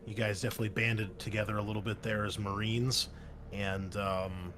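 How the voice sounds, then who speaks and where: Low-voiced man running a tabletop game. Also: moderate pace, a little hiss or hum. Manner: relaxed narration with many fillers. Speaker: game master.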